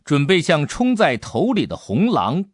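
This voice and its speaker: 一位男性有声小说演播者，用富有悬念和表现力的方式讲述故事。. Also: male voice, a little high, unhurried. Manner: suspenseful, expressive delivery. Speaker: audiobook narrator. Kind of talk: dramatic scripted storytelling.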